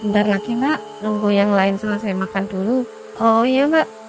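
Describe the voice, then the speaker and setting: A woman's voice: mid-range voice, moderate pace, natural voice. A woman playing a friendly, polite and chatty young female hiker in a cartoon. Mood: curious. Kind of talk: cartoon dialogue acting.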